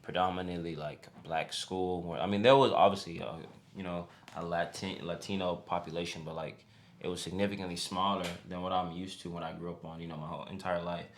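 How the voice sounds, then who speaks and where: A man's voice: deep voice, fairly even intonation, fairly quick, slight background noise. A young man co-hosting a casual podcast chat, talking in a laid-back, slangy way with emphatic bursts. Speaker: podcast co-host. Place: home podcast setup.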